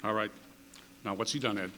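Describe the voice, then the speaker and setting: A man's voice: fairly low pitch, fairly even intonation, brisk, sharp tone, slight background noise. Man acting a terse, matter-of-fact radio police detective. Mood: calm, probing. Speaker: actor in a staged radio tribute. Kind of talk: old-time radio drama re-enactment.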